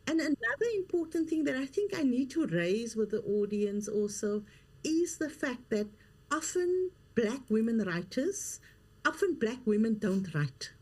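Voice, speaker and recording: Female guest, middle-aged, slightly high register, earnest and emphatic. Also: very animated, measured pace, darkish tone. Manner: earnest, emphatic, repeats key phrases. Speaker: guest, leadership coach and author. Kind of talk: interview conversation.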